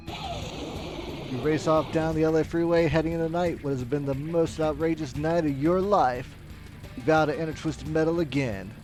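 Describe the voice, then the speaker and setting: A man puts on a narrator of melodramatic game endings, reading scripted story text aloud. The voice is a touch high, over faint music. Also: darkish tone. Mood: storytelling.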